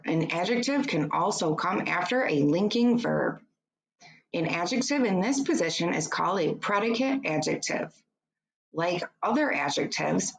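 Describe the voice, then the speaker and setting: A woman reads an educational children's book aloud like a teacher, in a deliberate, instructive way, adding short explanations for young pupils. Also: on the low side, creaky, low-quality recording.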